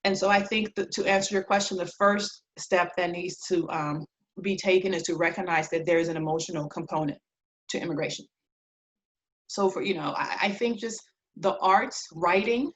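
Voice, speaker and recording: A woman in her thirties from Liberia answers interview questions conversationally as a guest, reflective and halting, often pausing between phrases. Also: mid-range voice. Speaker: interview guest, clinical social worker.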